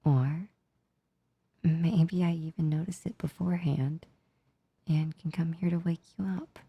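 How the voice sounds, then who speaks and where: A woman voicing a gentle, affectionate demon in an ASMR roleplay, soothing and tender, with a soft, breathy, near-whispered delivery. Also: low voice, steady tone, dry recording, lo-fi sound, full character voice. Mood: gentle, reassuring. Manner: slow, soothing, tender, unhurried. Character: gentle, comforting female sleep paralysis demon, affectionate and reassuring.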